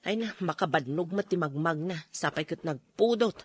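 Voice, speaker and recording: A woman acting as a breezy, confident friend in an Ilocano radio drama. Her voice is husky and very animated, recorded dry. She coaxes with an Ilocano accent. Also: fairly low pitch, moderate pace, dry recording. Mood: breezy, coaxing.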